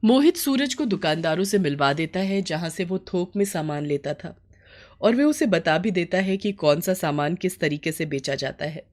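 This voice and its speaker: A woman's voice: on the low side, lively intonation, normal speed, darkish tone. A woman storyteller reading a scripted moral bedtime story.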